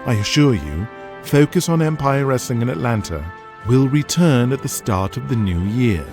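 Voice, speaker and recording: A man plays a deferential male executive in an audio drama. He speaks formally and politely, always placating the boss, with music clearly underneath. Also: medium pitch, lively intonation, normal speed, darkish tone, voice pushed up, natural voice. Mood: anxious reassuring.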